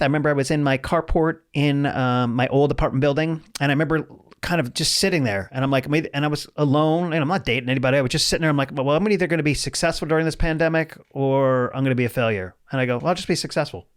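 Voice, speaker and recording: A man in his forties, a comedian guest telling rambling, animated stories in a casual podcast chat. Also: fairly high pitch, fast talker, hardly any pauses, smooth. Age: 40s.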